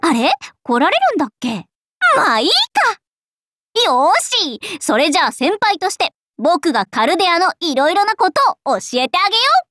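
A woman's voice: very high voice, sing-song, normal speed, a bit bright, no room sound, studio quality, heavily acted. A woman voicing a cheerful, scatterbrained androgynous young male knight in an anime game, playful and impulsive. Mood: bubbly. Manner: bubbly, impulsive, playful exclamations. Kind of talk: anime game character acting.

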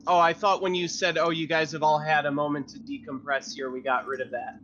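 A man's voice: fairly high pitch, level tone, fairly quick, a bit bright. A man playing a tabletop game, chatting casually and swearing freely. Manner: profane, exclamatory. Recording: dull lo-fi mic with faint noise.

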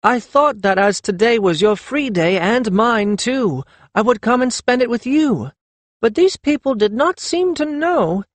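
A doting older mother played by a man, her voice lifted high and fretful. She speaks in exclamations, like a flustered working woman in a storybook, and the sound is close and dry. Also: big pitch swings, measured pace, slightly rough, no echo, exaggerated voice. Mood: surprised, chatty.

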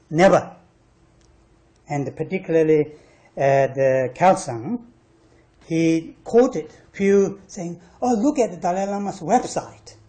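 Male panel speaker, non-native English, argumentative and emphatic, halting phrasing with repeated stressed words. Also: on the high side, mellow. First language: not English. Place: university panel discussion room.

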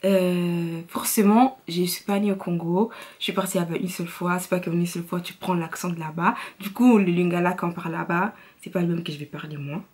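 A woman's voice: fairly low pitch, steady tone, a little fast, darkish tone, husky. Young woman with an Italian accent. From Italy. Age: young adult. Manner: chatty, mixes French and Lingala, frequent fillers. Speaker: beauty vlogger. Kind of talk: casual makeup tutorial chat. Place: bedroom, indoors.

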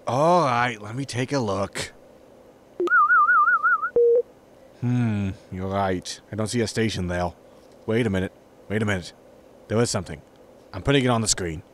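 An actor playing a grumpy, money-minded spaceship captain, impatient and sardonic, in a sci-fi comedy audio drama. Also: male voice, fairly low pitch, normal speed, background noise, close to an everyday voice. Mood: puzzled, impatient.

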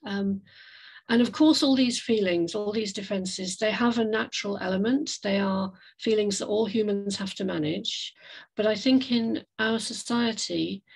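A woman giving an online slide talk as a guest speaker over a video call, with hesitant, reflective, measured phrasing. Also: medium pitch, creaky, slightly rough, poor audio. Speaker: guest speaker, climate café facilitator. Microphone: video call microphone.

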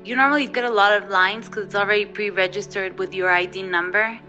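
A woman's voice: mid-range voice, fairly even intonation, brisk, pushed and bright, dull audio, soft background music. A woman from Ecuador, a Spanish speaker, giving a casual personal account.

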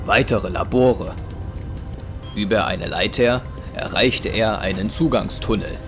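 A man's voice: lowish voice, slow pace, over music. A man reading scripted narration for an audio drama.

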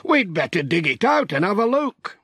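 A man's voice: high voice, very animated, moderate pace, sharp tone, husky, no echo, clean studio sound, pitched above the performer's own voice, exaggerated voice. A man voicing a hearty, practical sheep farmer in a children's audiobook, emphatic and plain-spoken. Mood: keen.

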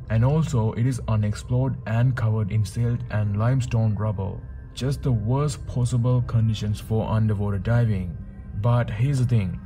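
Man narrating in a dark, mellow voice. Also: mid-range voice. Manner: steady storytelling with asides to viewers. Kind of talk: scripted documentary narration. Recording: faint music bed under narration.

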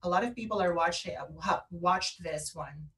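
A woman's voice: on the low side, moderate pace. A woman co-hosting an online radio show, chatting casually and reacting over a video call, a little away from the microphone. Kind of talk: casual reaction chat, some Tagalog mixed in. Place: indoor studio room on a video call. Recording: distant mic, loud background noise.

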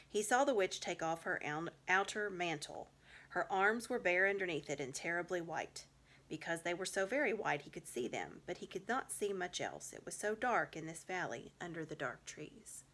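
A woman in middle age reads a children's story aloud in a small room at home. Her voice is a little low and has a bright, clear edge. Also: animated, moderate pace. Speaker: reader.

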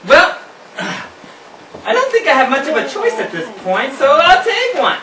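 A performer voicing an anxious, excitable rooster in an amateur puppet show, rambling and flustered. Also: sits high, measured pace, noisy background, heavily acted. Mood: polite, hesitant. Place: indoor room, puppet stage, far camera. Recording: roomy distant camera audio with music behind.

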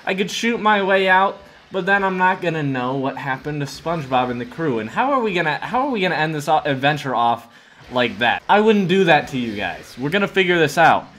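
Young man doing gaming commentary, slightly high voice. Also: big pitch swings, a little fast, fairly bright tone, slight background noise. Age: 20s. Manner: jokey, mock-dramatic narration. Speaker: gaming video host. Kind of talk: casual roleplay let's play commentary.

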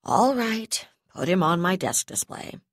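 A woman's voice: lowish voice, very animated, unhurried, no room sound, studio quality, pitched above the performer's own voice, heavily acted. A woman voicing a furious, foul-mouthed female brigadier who snarls and sneers in a harsh, hard-edged voice. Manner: shouting, snarling, swearing.

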